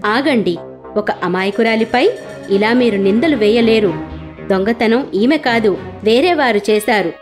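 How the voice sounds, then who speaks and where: A woman's voice: a little high, animated, measured pace, over music. A woman voicing a divine bull attendant disguised as a man, speaking firmly and earnestly. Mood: stern. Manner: firm, earnest.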